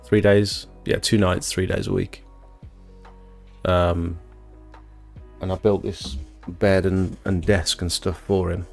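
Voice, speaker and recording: A man's voice: low voice, little pitch movement, slow pace, gravelly, long pauses, faint music behind. A British man in his thirties chatting informally and rambling as he hosts a casual live stream, reading out comments and answering them. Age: 30s. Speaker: livestream host, exterior cleaning tradesman. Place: small home bedroom doubling as an office.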